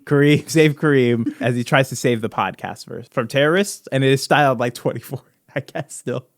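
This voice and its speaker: A man's voice: medium pitch, normal speed. A man co-hosting a podcast in casual, joking group chat, emphatically repeating short phrases for effect. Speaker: podcast co-host.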